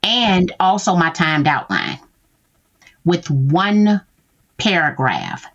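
A woman's voice: on the low side, sing-song, slow, fairly bright tone, highs cut off. A woman guest talking through how she uses tools in her business, checking in often with "right?". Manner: explains with frequent "right?" check-ins. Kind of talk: conversational interview.

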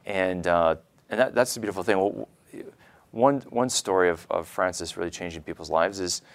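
A man's voice: sits low, moderate pace. A man hosting a conversational interview, speaking earnestly and often restarting his phrases. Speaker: show host.

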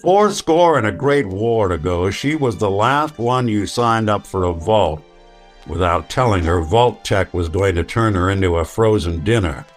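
An actor playing a weary, wry, hard-boiled synthetic detective with a noir delivery in an old-time radio drama. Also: male voice, fairly low pitch, animated, unhurried, soft background music. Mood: wry, menacing.

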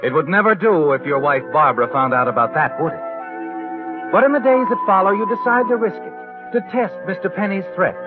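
An actor as the narrator of a 1940s-style radio drama, addressing the listener in a knowing, insinuating, dramatic way. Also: male voice, sits high, moderate pace, narrow, tinny audio, close to an everyday voice. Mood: ominous. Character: insinuating male narrator, needling the schemer as his conscience. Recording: band-limited vintage radio recording over music. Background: music.

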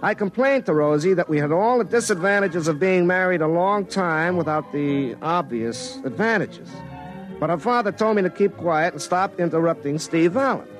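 Excitable, hapless young telephone lineman. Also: sits high, normal speed, poor audio. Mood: rueful storytelling. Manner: folksy, excitable, self-dramatizing. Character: hapless, earnest young telephone lineman telling his own story. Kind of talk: first-person comic radio drama narration and dialogue. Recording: classic radio show with music underneath.

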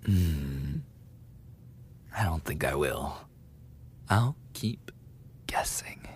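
A man's voice: rumbling low, expressive, extremely slow, a little hiss or hum, full character voice. A man playing a cruel, mocking teenage delinquent bully in a dramatic roleplay audio, with a taunting, sneering, menacing delivery.

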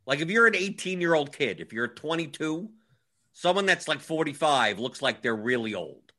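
A man's voice: fairly high pitch, sing-song, moderate pace, bright, forceful tone. A man in his forties hosting a casual livestream chat, wry and grumbling, often repeating himself. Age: 40s. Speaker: livestream host.

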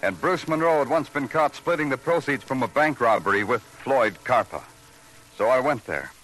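An actor playing a deadpan, terse police detective who narrates his case and questions people in an old noir radio drama. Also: mid-range voice, normal speed, a little hiss or hum, close to an everyday voice, poor audio. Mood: wry, brooding.

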